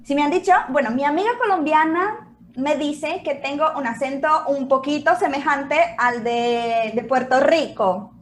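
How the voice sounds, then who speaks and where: A woman's voice: high voice, normal speed, slight background noise. A Brazilian woman whose first language is Portuguese, a Spanish teacher speaking as a guest over a video call and searching for her words.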